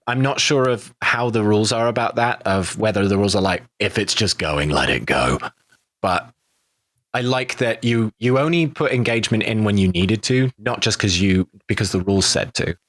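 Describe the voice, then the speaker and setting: A man's voice: lowish voice, fairly bright tone, no echo. Man chatting with a close, dry sound. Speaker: podcast cast member and player. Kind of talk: casual roundtable chat.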